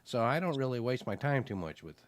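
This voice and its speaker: A man's voice: medium pitch, sing-song, fairly quick, dry recording. A man co-hosting a podcast, chatting casually and rambling with lots of "you know" fillers. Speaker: podcast co-host. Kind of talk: casual chat.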